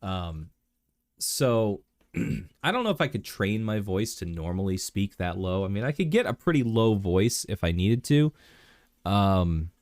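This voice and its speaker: Male streamer, low voice, under the weather, rambly casual chat with lots of ums, dry close mic. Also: animated, measured pace, a little mellow, no room sound. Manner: rambling, many fillers and restarts. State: sick, clearing throat.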